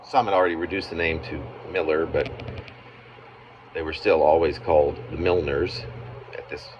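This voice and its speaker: A man reading his own narrative poem aloud as its author, in halting phrases with pauses mid-sentence. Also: rumbling low, fairly even intonation. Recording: muffled, noisy amateur home recording.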